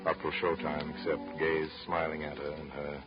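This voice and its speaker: A man's voice: rumbling low, level tone, normal speed. A man narrating a 1930s radio drama as a reflective storyteller. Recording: dull old radio broadcast with music. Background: music.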